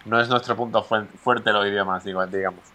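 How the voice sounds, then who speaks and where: A man from Spain speaks low and fairly flat, pausing often with fillers as he answers interview questions. His tone is bright and forward. He sounds like a golfer talking about his career. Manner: hesitant, many fillers and restarts. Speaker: professional golfer guest.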